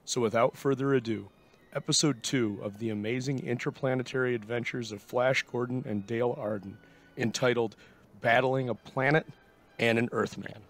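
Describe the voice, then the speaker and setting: A man's voice: medium pitch, steady tone, moderate pace. A man giving a formal, scripted introduction as the host of a show. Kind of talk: scripted narration.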